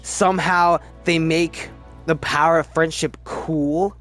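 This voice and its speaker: Man, slightly high voice, casual video commentary, chatty, emphatic, halting restarts, background music. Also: steady tone, fairly bright tone. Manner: chatty, halting, restarts sentences mid-word. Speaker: movie review video host. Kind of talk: casual ranked-list movie commentary.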